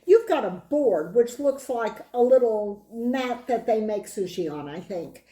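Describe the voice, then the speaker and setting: A middle-aged woman with a hoarse voice hosts a casual board game chat, drifting into side remarks as she goes. Her voice sits a little high and she speaks slowly and unhurriedly. The room around her is echoey. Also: lively intonation, mellow. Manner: chatty and rambling, with side remarks and restarts. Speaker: board game channel host. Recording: roomy echo.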